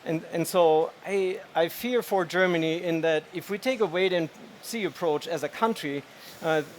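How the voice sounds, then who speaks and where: A man's voice: fairly high pitch, moderate pace, slight background noise, poor audio. A man speaking on an industry panel, confident and plain-spoken. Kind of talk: panel discussion.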